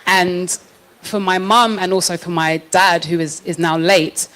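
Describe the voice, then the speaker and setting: A woman's voice: on the low side, steady tone, a little slow, a bit bright, poor audio. A young woman from London with a London accent, speaking thoughtfully and frankly as a guest on a panel in front of a live audience. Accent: British, London. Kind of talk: panel discussion.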